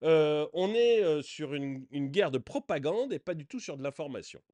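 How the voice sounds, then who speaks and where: A man hosting a commentary channel, reacting to political TV clips with indignation that climbs to shouting and then drops into mumbled fillers. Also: a little high, expressive, normal speed.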